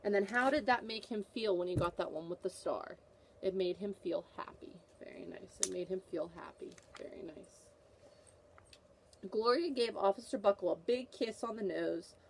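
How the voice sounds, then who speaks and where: A woman's voice: medium pitch, moderate pace, vocal fry, slight background noise, low-quality recording. A woman teacher reading a picture book aloud to children, with an instructive manner, repeating questions to prompt her young listeners.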